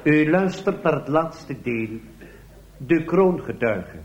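A man playing a solemn, old-fashioned radio announcer in a parody, with formal, drawn-out delivery. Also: on the high side, lively intonation, highs cut off, noisy background. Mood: mock solemn.

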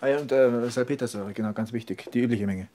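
A man's voice: medium pitch, quick pace, natural voice. An actor playing a commanding male boss in a works office, in a radio drama. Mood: businesslike. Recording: loud noise behind the voice.

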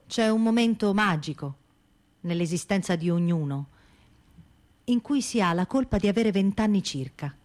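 A woman's voice: medium pitch, lively intonation, normal speed, darkish tone. A woman reading a scripted theatrical narration as a stage narrator.

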